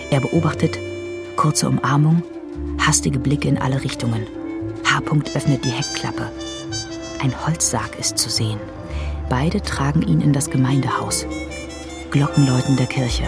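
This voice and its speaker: An actress playing a matter-of-fact reader of stage directions in a radio drama, terse in delivery. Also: female voice, extremely low pitch, gravelly, full character voice. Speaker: narrator.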